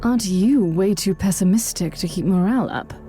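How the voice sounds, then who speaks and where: A woman's voice: mid-range voice, a little fast, dark tone, soft background music, natural voice. An actress playing a stern, zealous woman inquisitor in a video game. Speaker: inquisitor, player character.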